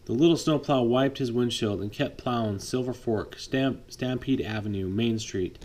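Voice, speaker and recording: A man reading a picture book aloud to his child at bedtime, lively, pausing to chat with the child. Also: mid-range voice. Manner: lively read-aloud, voices for the trucks, chats with the child. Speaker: father reading a bedtime story.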